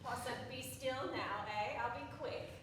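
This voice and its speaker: A woman acting a brisk, devout attendant on stage, high and bright in voice, giving orders and declaiming. She sounds distant in a very echoey theatre. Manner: poetic, declaiming, instructing. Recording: very echoey theatre, distant mic, faint noise.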